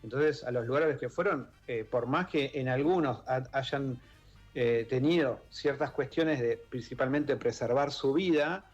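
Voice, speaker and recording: A man with a Rioplatense Argentine accent speaks as a radio guest, thoughtful and searching for words, often restarting his sentences. His voice sits at a middle pitch and comes through a dull, muffled broadcast sound. Faint music plays behind him. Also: unhurried. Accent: Rioplatense Spanish. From born in Italy, raised in Argentina. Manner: thoughtful, many fillers and restarts. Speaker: guest, author and member of an exile-children group. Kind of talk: radio interview. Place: radio studio.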